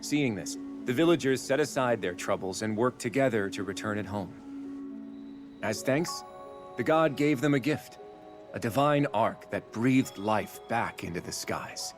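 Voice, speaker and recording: A man's voice: mid-range voice, expressive, a little slow, over music, natural voice. An actor playing a steadfast, teasing male bird-folk warrior in a video game, with a wry, assured manner. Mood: earnest.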